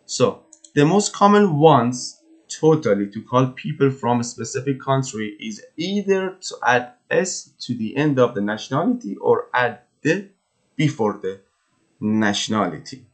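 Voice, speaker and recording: Slow, careful male English teacher. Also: mid-range voice, very animated. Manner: slow, careful, repeats words for pronunciation. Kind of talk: vocabulary lesson walkthrough.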